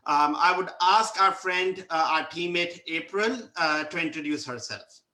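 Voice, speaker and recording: A man's voice: fairly high pitch, unhurried, sharp tone. A man hosting a company webinar, speaking hesitantly with many fillers and restarts. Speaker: webinar host. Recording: echoey room.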